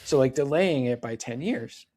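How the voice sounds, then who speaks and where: A man's voice: medium pitch, animated, moderate pace, mellow. Chatty man in his forties. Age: 40s. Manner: chatty, lots of "like" and "right?" asides. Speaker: podcast guest. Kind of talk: casual interview chat.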